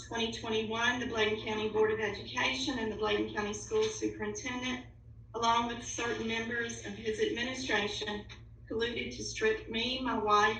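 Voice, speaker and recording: A woman's voice: a little high, fairly bright tone. A woman reading a written statement aloud formally, as an official at a public board meeting. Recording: echoey room, distant mic, faint noise.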